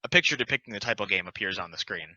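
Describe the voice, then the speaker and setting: A man's voice: fairly low pitch, expressive, racing, sharp tone, dry recording, highs cut off. A man reading a script aloud as narrator at a play table read. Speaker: narrator reading stage directions.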